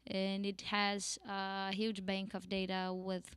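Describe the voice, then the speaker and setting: A woman's voice: mid-range voice, monotone, takes it slowly, fairly bright tone, clear, resonant tone. A Brazilian woman with a Brazilian accent teaching a singing lesson in English, chatty and laughing often. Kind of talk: casual online lesson.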